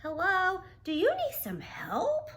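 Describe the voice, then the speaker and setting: A woman voicing Frizzle, a curious, excitable young male creature in a children's storybook. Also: very high-pitched, slow, voice pushed up, full character voice. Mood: gentle, caring.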